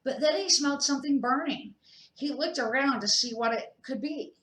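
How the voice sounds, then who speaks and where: An older woman, a grandmother, reading a children's storybook aloud in an expressive amateur way. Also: high voice, moderate pace. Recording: echoey room.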